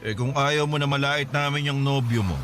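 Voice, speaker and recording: A man's voice: medium pitch, normal speed, a bit bright, close to an everyday voice. An actor playing a stern, protective middle-aged father in a radio drama, lecturing firmly. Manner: firm, lecturing.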